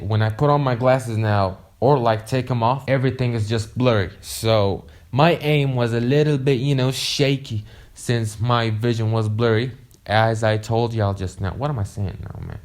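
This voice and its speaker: A young man whose first language is Arabic tells a casual, rambling storytime full of "you know" fillers and swearing. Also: mid-range voice. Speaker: vlogger.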